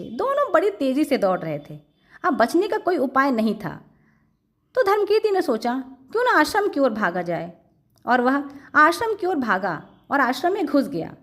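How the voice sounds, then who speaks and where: A woman storyteller with a high voice narrates a scripted moral tale. Her delivery is animated and expressive, with wide swings in pitch and clear emphasis on key phrases.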